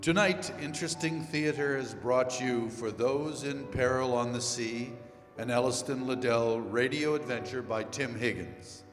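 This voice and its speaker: A man's voice: medium pitch, unhurried. A man announcing the credits of a live radio drama in old-time announcer style. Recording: echoey hall, music and audience.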